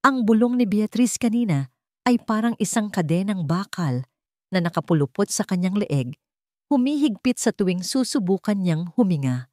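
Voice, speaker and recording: Female Filipino narrator for dramatic fiction, deliberate delivery, lively melodic range, close dry sound. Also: medium pitch, no room sound. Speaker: story narrator. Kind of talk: dramatic scripted narration of a Tagalog romance drama.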